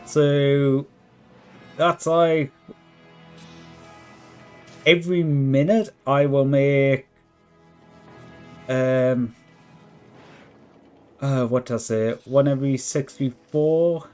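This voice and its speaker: Hoarse man musing slowly over a game. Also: medium pitch, fairly even intonation, darkish tone. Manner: thinks aloud, chats with viewers. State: voice worn and hoarse. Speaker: live streamer. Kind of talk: relaxed live gameplay commentary. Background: faint background music.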